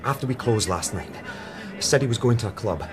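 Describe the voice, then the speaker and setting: An actor playing an edgy, worried restaurant worker in a radio drama, blunt and defensive. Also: male voice, mid-range voice, expressive, a little fast, over music, lightly acted. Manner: blunt, accusing.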